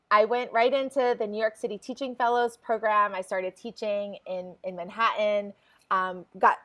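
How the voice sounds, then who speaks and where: A woman's voice: a little high, bright, forceful tone. A woman in her forties, a podcast guest, telling her story in a chatty way with frequent laughs. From New Jersey. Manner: chatty, laughs often, restarts phrases. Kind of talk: casual interview chat.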